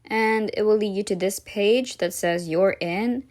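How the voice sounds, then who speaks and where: A woman hosting a software tutorial, narrating casual step-by-step instructions. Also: medium pitch, unhurried. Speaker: tutorial host.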